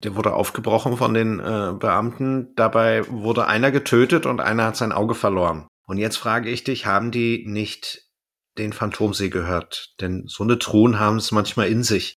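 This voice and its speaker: Low-voiced man, casual podcast host. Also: normal speed, a bit bright. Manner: conversational, loosely retelling from notes. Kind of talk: casual review and recap of an audio drama.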